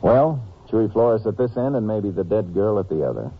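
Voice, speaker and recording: An American actor playing a seasoned, calm and folksy Texas Ranger in a 1950s radio drama, speaking and narrating plainly with a laconic Western drawl. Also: male voice, lowish voice, moderate pace, slightly rough. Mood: alert, watchful. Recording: noisy, tinny old 1950s radio recording.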